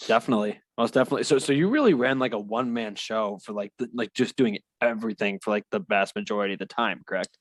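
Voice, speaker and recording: A man's voice: on the low side, fast, dry recording. A man interviewing someone in a casual, agreeable way, chiming in often with short affirmations.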